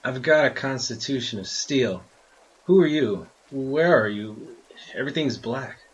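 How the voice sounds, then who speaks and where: A man playing a blustering, righteous captive detective in an audio drama, husky and forceful, with an earnest, indignant manner. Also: medium pitch, expressive, a little slow, dull audio. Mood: confused, demanding. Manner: earnest, indignant, tough-talking.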